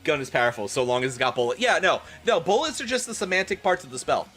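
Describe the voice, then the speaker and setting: A man doing comedic live-stream commentary and dramatic reading in an animated, theatrical, profane manner. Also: on the high side, fast talker, faint music behind.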